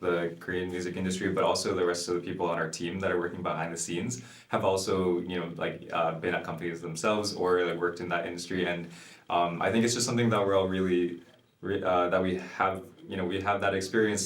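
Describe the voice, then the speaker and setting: A young man who sings in a pop group, answering interview questions casually, with a lot of halting "um"s and "like"s. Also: sits low, little pitch movement, reverberant. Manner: halting, many fillers and restarts.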